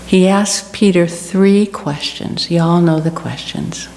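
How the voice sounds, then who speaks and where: Woman doing a church reflection, real slow and earnest, big echoey room with background noise. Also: fairly low pitch, vocal fry, a little mellow. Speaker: guest speaker giving a church reflection. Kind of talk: personal sermon reflection to a congregation.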